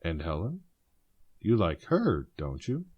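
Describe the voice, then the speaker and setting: A man's voice: deep voice, wildly swooping pitch, slow, slightly rough, dull audio, exaggerated voice. A man playing an easygoing, kindly middle-aged husband and uncle in an old-time radio drama. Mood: mild, kindly. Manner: casual, genial.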